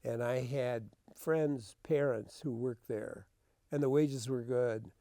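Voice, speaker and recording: A man's voice: medium pitch, slow pace, warm tone, dry recording. An older American man, a veteran radio host, reminisces in casual conversation. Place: radio studio.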